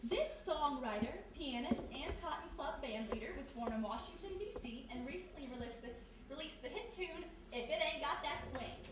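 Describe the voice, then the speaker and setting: A woman's voice: high-pitched, lively intonation, moderate pace. A woman reading out quiz questions as an assistant at a live radio-style show. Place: live performance before studio audience in historic house. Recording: very echoey, noisy, distant live room.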